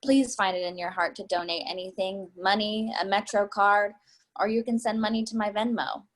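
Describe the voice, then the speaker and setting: A woman's voice: mid-range voice, steady tone, normal speed, close to an everyday voice. A woman playing a middle-aged homeless beggar in a play reading, pleading in a sympathetic but rehearsed way.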